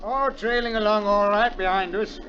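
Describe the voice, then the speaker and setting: North Country manservant, high lively voice, pessimistic, deferential, northern English accent, vintage radio drama, dull lo-fi sound. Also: male voice, moderate pace. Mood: uneasy. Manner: deferential, gloomy, plain-spoken. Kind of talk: 1940s radio acting. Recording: muffled 1940s radio broadcast.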